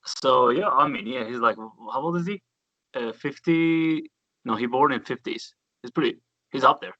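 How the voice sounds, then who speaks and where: Enthusiastic Turkish-accented man, bright voice. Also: mid-range voice, normal speed, no room sound, muffled recording. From Turkey. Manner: enthusiastic storyteller, loose grammar, swears casually. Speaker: guest bassist. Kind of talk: casual interview chat.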